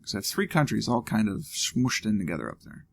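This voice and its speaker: Man, gravelly voice, lively pitch, casual game commentary, thinking aloud. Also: a little fast, slight background noise. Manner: thinking aloud, musing and joking. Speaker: let's play host.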